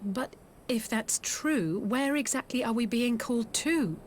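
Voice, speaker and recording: A woman's voice: a little high, unhurried, a little hiss or hum, lightly acted. A woman playing a forthright, determined young noblewoman in a video game, direct and articulate. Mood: serious, resolute. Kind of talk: scripted video game cutscene acting.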